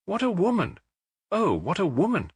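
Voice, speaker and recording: A man plays a proud king in a classic storybook tale. His delivery is theatrical and full of exclamation, swinging widely in pitch. The voice has a husky grain and sits close and dry. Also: wildly swooping pitch, moderate pace, dry recording, exaggerated voice. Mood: admiring. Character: proud, emotional adult king of Bohemia. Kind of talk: audiobook character acting.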